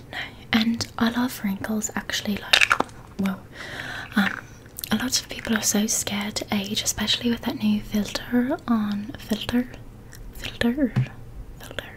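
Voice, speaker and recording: A young woman whispering softly and reassuringly into a close microphone in an ASMR roleplay. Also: mid-range voice, steady tone, a little slow, low-quality recording. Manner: soft whispering, gentle and reassuring. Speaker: ASMR creator.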